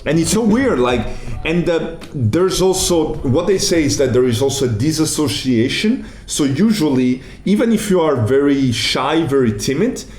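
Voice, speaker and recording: A man's voice: fairly high pitch, animated, normal speed, hardly any pauses, a little mellow, echoey room, a little hiss or hum. A man, co-hosting a podcast in a casual, explanatory way. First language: Italian. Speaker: podcast co-host, comedian. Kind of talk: casual chat.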